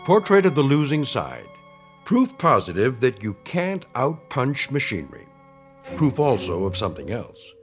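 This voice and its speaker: An actor reading the closing narration of a 1950s radio drama, measured and ironic. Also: male voice, mid-range voice, huge pitch swings. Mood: solemn. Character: grave male anthology narrator. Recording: vintage radio recording with music.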